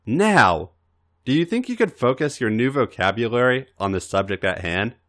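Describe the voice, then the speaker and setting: A man's voice: mid-range voice, very animated, moderate pace, fairly bright tone. A man voicing a self-assured, seductive male wrestler with a teasing drawl in an audio story.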